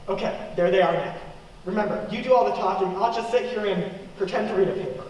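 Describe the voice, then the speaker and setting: A man's voice: high voice, quick pace, a little mellow, natural voice. A man playing an earnest young fugitive wrongly accused of murder in an old-time radio play. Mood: charming, conspiratorial. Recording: echoey hall, far from mic, noisy.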